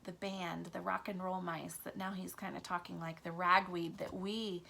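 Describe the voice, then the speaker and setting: A woman's voice: on the low side, level tone, normal speed, gravelly, sharp tone, a little hiss or hum. A woman teacher reading a children's book aloud and explaining it to young pupils.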